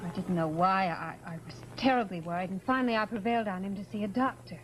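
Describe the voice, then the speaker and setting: An actress playing an anxious, earnest wife in an old-time radio horror drama. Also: female voice, medium pitch, quick pace, a little hiss or hum, natural voice, rough recording. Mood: despairing. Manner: earnest, fretful. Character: anxious, pleading young wife of doomed man.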